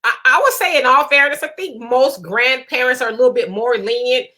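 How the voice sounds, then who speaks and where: A woman in her forties co-hosting a casual live discussion, chatty and animated, driving points home with a rhetorical "right?". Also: high-pitched, brisk. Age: 40s. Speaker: co-host.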